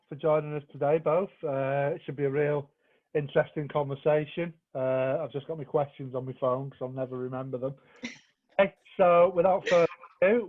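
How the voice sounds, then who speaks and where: A man interviewing artists, friendly and informal, pitched a little high. His voice sounds thin and tinny, like it's coming through a phone line on a video call. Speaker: interviewer and arts officer. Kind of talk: hosting an online artist conversation.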